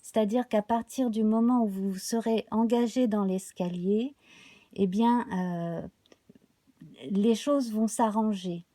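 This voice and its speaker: A woman reads tarot cards for a live audience, her voice slightly hoarse. She speaks slowly and haltingly, filling pauses with small sounds, in a warm, mellow tone. The recording is dry and close. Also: medium pitch, no room sound. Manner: slow, halting, many fillers. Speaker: tarot reader. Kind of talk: live tarot card reading.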